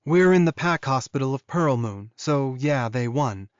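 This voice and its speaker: A man voicing a bright, friendly young female wolf-shifter in an audiobook, breezy, informal and teasing. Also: medium pitch, animated, unhurried, clean tone, no echo, dull audio, natural voice. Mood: warm, admiring.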